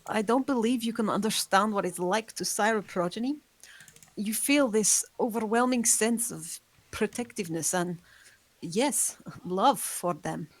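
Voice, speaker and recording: A woman playing an ancient, seductive, world-weary female vampire in a table read, with formal, deliberate phrasing. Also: mid-range voice, dry recording, lightly acted. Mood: wistful, brooding. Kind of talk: scripted drama table read.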